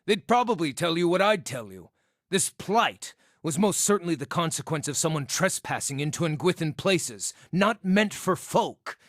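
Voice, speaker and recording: A cheeky, scarred little druid outcast from a fantasy game. The voice sits fairly high for a male and swoops with lively intonation. The phrasing is wordy, wry and theatrical, recorded close and dry. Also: moderate pace, dry recording. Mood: earnest. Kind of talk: video game character dialogue.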